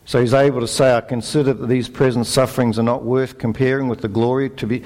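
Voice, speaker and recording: A middle-aged Australian man giving a conversational sermon, relaxed and self-deprecating, with fillers and restarts. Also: mid-range voice, fast, low-quality recording. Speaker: preacher.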